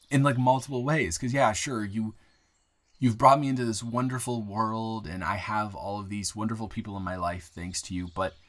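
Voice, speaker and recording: Man acting as smitten young newcomer, lowish voice, earnest, halting, rambling, intimate romantic audio roleplay. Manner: halting, rambling, with repeated words and nervous chuckles. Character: earnest, smitten 23-year-old man, new in town.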